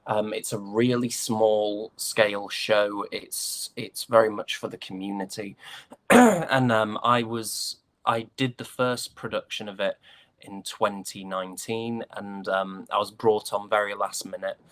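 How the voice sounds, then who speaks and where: A fairly low-pitched man from the UK talks casually as a guest in an interview. He is thoughtful and hesitant, circling back over his words as he forms an answer. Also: steady tone, a little slow, fairly bright tone. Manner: hesitant, thoughtful, trailing repetitions. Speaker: interview guest, stage actor.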